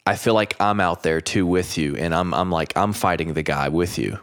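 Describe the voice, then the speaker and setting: A man hosting a casual podcast chat, a coach with a loud voice who jumps in with eager interjections. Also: deep voice, normal speed.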